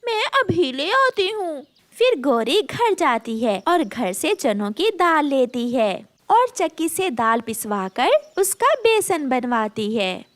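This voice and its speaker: A woman's voice: high-pitched, big pitch swings, takes it slowly, hardly any pauses, dry recording. A woman narrating a scripted children's story.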